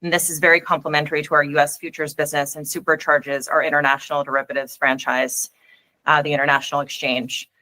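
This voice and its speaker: A woman's voice: sits low, little pitch movement, quick pace, sharp tone. A woman speaking as a company executive, giving a structured, businesslike answer on an earnings call.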